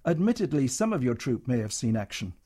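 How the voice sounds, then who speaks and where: A man's voice: medium pitch, very animated, fairly quick, mellow, husky. A man voicing a stern wartime hospital matron, firm and cutting.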